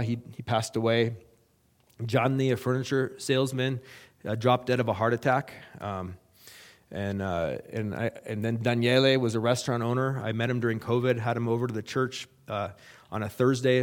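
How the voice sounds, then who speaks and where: A middle-aged man, a missionary, giving a halting, earnest report to a church with many fillers and restarts. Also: medium pitch. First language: English.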